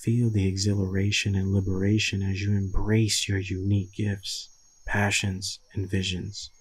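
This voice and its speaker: A man leading a guided meditation in a soothing, deliberate manner with long pauses and a husky voice. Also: fairly low pitch, monotone, poor audio.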